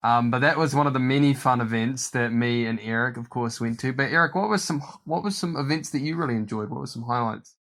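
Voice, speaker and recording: A man's voice: mid-range voice, fast, few pauses, fairly bright tone. A man from New Zealand hosting a podcast, talking casually in a rambling way with lots of fillers and laughter. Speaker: podcast host. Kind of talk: casual chat.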